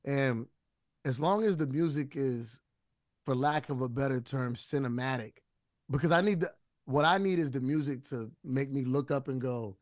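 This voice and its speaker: Husky, loose-talking man. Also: medium pitch, moderate pace, no room sound, studio quality. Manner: loose, profane, many restarts and fillers. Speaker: rapper guest. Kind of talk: casual interview talk.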